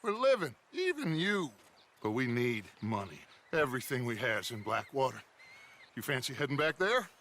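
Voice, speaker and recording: A charismatic outlaw boss in a western game. His voice is bright and forceful, with big theatrical swings in pitch, over faint noise. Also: sing-song, moderate pace. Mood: impassioned. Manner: theatrical, oratorical. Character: commanding, persuasive, charismatic outlaw gang leader. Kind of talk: video game cutscene acting.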